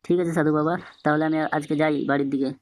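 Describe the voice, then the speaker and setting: A man's voice: on the high side, level tone, rapid-fire, close to an everyday voice. A man voicing a hot-headed but devout middle-aged village father in a Bengali comedy cartoon. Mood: devout, obedient.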